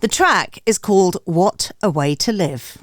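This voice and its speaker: A woman's voice: mid-range voice, animated, measured pace, a little hiss or hum. A woman hosting a casual, chatty panel show. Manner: chatty, addresses people as darling. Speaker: radio show host.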